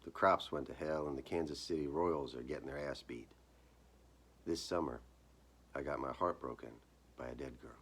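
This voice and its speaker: Male author-narrator, extremely low register, gritty husky tone, deliberate storyteller's phrasing. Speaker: author reading own short story. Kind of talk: dramatic live reading of literary horror fiction.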